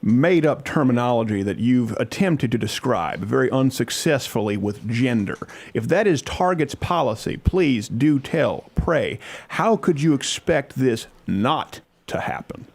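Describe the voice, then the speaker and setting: An indignant father, acted out by a man lodging a complaint by phone. He speaks in a pompous, wordy, mock-formal way, laced with sarcasm, at a middling pitch. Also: animated, measured pace, hardly any pauses. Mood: aggrieved, pompous. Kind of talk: prank phone call complaint.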